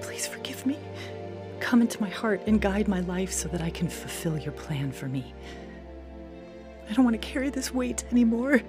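A woman's voice: medium pitch, lively intonation, moderate pace, natural voice. An actress playing a troubled young woman who earnestly tells her own story in an audio drama. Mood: pleading. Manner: earnest, confessional. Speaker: narrator and lead actor in audio drama. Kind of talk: first-person dramatized testimony narration. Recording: music bed under studio voice. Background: music.

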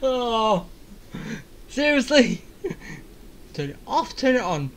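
A woman gamer chats casually over a story playthrough. Her voice is a bit high and she takes her time, reacting aloud with faint game sound behind her. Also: a bit bright, a little hiss or hum. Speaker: gamer playing through a story.

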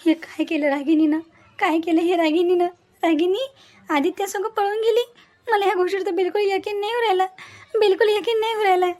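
A woman's voice: very high-pitched, fairly even intonation, a little fast, darkish tone. A woman playing a runaway bride's distressed, apologetic mother in a cartoon family drama, lamenting repeatedly in a Varhadi Marathi accent. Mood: distressed, pleading. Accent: Varhadi Marathi, Vidarbha. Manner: pleading, lamenting, repetitive. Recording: faint music behind the voice. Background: faint music.

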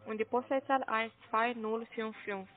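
High-voiced woman on a phone line. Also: steady tone, normal speed. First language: not German. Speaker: call center agent. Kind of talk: sales phone call. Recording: muffled narrow phone line.

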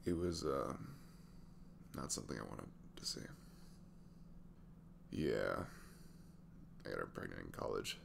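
A man plays a weary, sweet young single dad opening up in an intimate ASMR roleplay. His very deep voice crackles with gravel and moves up and down expressively as he hesitates and trails off. A faint hum sits behind the soft-spoken delivery. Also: very animated, measured pace, long pauses, exaggerated voice. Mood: wistful, confiding.

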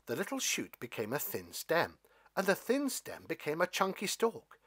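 A man reading a children's story as narrator, with expressive, scripted delivery. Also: fairly high pitch, normal speed, slightly rough. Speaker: storybook reader.

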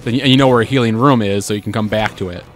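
A chatty man talking over a game session, quick asides and frequent laughs. Faint game music plays underneath his voice. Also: on the low side. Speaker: let's play host. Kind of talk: casual gameplay chat.

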